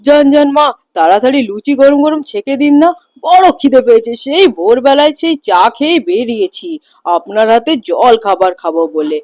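A man's voice: extremely high pitch, sing-song, brisk, darkish tone, dry recording. A man playing a gluttonous young son-in-law in a cartoon folk tale, coaxing and always chattering about food. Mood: eager, wheedling.

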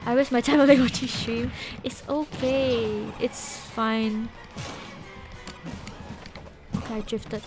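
A young woman with a Singaporean English accent and a slightly high voice, chatting loosely with her stream viewers. She giggles often and drifts between half-finished sentences. She speaks into a desk mic in a small bedroom, with faint game music underneath. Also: fairly even intonation, slow pace, pauses often. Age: young adult. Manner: chatty and giggly, trailing off and restarting. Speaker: Twitch streamer. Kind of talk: casual live-stream chat with viewers. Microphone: desk microphone with headset.

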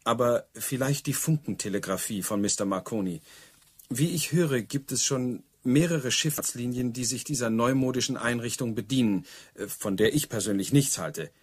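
An actor playing a deferential, principled police subordinate in a radio play, speaking formally with dry, pedantic politeness. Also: male voice, mid-range voice, normal speed, husky, natural voice. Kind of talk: German radio play dialogue.

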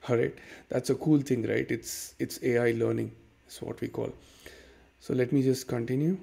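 Gravelly-voiced man with an Indian accent. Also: mid-range voice, normal speed. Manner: relaxed, many fillers and "okay"s, explains step by step. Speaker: tutorial host. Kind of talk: casual screen-recorded software tutorial. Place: dim room at home.

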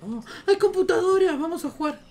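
A woman's voice: very high-pitched, expressive, fairly quick, darkish tone. A young adult woman with an Argentine Rioplatense accent, joking and teasing in casual chat as a gaming co-host. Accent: Argentine Rioplatense Spanish. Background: faint music.

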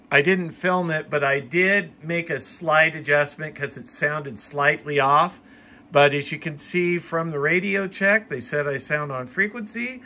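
A man's voice: fairly high pitch, bright, forceful tone. An American man giving casual how-to narration as a hobbyist video host, recorded in a room at home.

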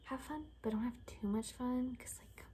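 A young woman speaking casually in a hushed, half-whispered ASMR roleplay style, close to the mic in a room. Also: fairly high pitch, normal speed. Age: young adult. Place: small furnished room indoors. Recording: noticeable background noise.

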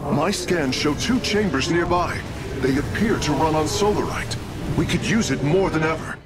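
An actor playing an earnest, analytical alien engineer in a video game, humble and dutiful, speaking formally and precisely. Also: male voice, a little high, normal speed, heavy background noise, close to an everyday voice. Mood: focused, determined. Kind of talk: video game dialogue acting. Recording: loud music under the voice.

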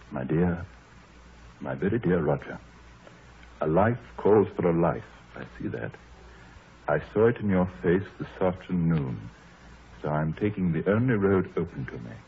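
An actor playing a troubled, anxious country gentleman, grave, hesitant and formal, in a 1930s radio drama. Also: low-pitched. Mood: fearful, grave. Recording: muffled, noisy 1930s radio recording.

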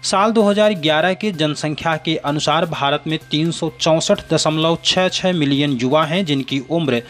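A man presenting a scripted news bulletin in a formal, measured way. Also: on the high side, fairly bright tone. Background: background music.

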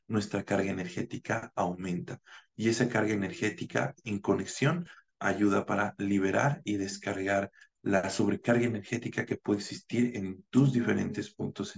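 Low gravelly-voiced man lecturing. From Bolivia. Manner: measured, explanatory, with frequent "eh" fillers. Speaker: naturopath giving a conference talk. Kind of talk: online conference lecture. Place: home room, indoors. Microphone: video call webcam.

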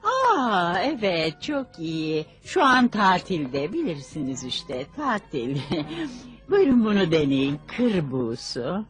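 A woman's voice: fairly low pitch, sing-song, takes it slowly, faint music behind. A woman voicing a cheerful, patient mother in a Turkish cartoon dub, polite and chirpy. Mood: sales cheer.

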